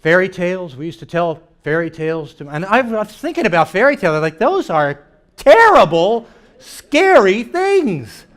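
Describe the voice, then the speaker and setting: A man doing stand-up comedy storytelling to a live audience, animated and acting out reactions and dialogue as he goes. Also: sits high, normal speed. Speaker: comedian and keynote speaker. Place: church hall in front of a live audience. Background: audience laughter.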